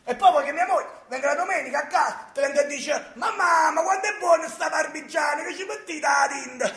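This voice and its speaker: A man's voice: very high-pitched, brisk, bright, forceful tone, shouting. An actor playing a sarcastic, exasperated son in his thirties in a comic drama, with a Neapolitan accent and a theatrical, badgering delivery that repeats phrases insistently. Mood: bitter ranting. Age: 30s. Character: exasperated, sarcastic thirty-three-year-old son living with his mother. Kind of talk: stage-style comic radio drama acting. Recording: echoey room.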